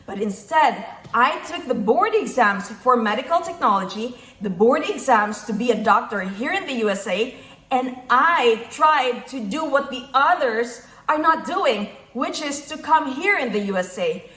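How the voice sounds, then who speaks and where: A woman with a Filipino accent speaks in a notably high voice, with clear room echo around it. She delivers a motivational talk point by point, emphatically repeating the phrases that matter to her. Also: lively intonation, unhurried, fairly bright tone. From Philippines. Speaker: motivational speaker, physician and investor. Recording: echoey room sound.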